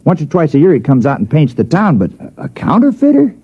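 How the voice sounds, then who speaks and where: Easygoing local official, husky, folksy, very animated pitch, dull vintage radio recording. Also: male voice, quick pace, exaggerated voice. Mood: hesitant, doubtful. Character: easygoing, hesitant male local official, reluctant to make trouble. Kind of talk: 1950s radio drama acting.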